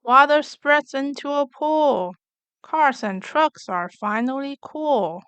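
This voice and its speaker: A woman reading a rhyming picture book aloud for young children, in an expressive, clearly enunciated storytelling manner. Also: high voice, slow pace, dry recording. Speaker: children's story reader, channel host.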